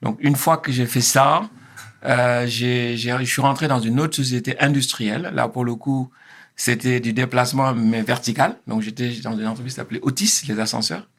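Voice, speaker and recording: A man in his fifties from Senegal, a guest on a talk show. His voice has a gravelly, fry-tinged edge. He answers at a measured pace, pausing and restarting often as he gathers his thoughts. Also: mid-range voice, fairly even intonation. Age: 50s. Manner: hesitant, many fillers and restarts. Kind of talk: interview answers.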